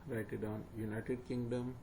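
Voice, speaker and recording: A man who speaks as a guides a viewer through an online form, repeating steps plainly with fillers. His voice is low and gravelly with little rise and fall. Steady hiss and noise sit under the recording. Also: normal speed. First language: not English. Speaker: tutor. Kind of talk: screen-recorded walkthrough. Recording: noisy home recording.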